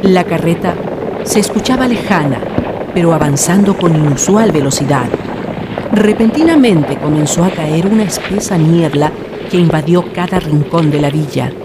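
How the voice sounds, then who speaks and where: A woman's voice: low voice, background noise, close to an everyday voice. A woman acting as the solemn narrator of a ghost legend in a scripted radio drama. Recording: loud vehicle and night sound effects.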